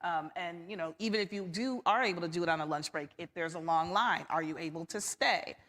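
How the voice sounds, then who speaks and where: A woman's voice: fairly low pitch, a little fast, fairly bright tone, long reverb tail. A woman on a discussion panel, explaining earnestly with many fillers.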